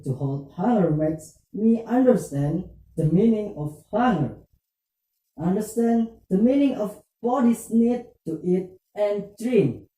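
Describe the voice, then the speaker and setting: A performer voicing an earnest, hesitant young student puppet in a scripted puppet show, with halting, stilted phrasing. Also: mid-range voice, mellow. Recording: distant, echoey room, muffled.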